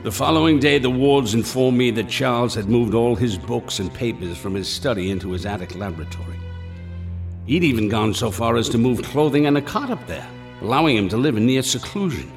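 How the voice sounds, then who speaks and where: An actor playing a steady, fatherly family doctor who narrates a radio drama in a measured, formal way. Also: medium pitch, vocal fry. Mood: grave, measured. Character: steady, fatherly male family doctor recounting strange events. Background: prominent music.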